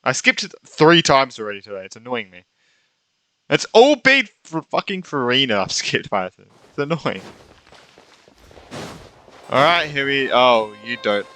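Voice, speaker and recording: A man's voice: medium pitch, big pitch swings, moderate pace, long pauses, pushed and bright, dry recording. A man streaming a game with casual live reactions, talking in a rambling, repetitive, informal way. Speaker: gaming streamer.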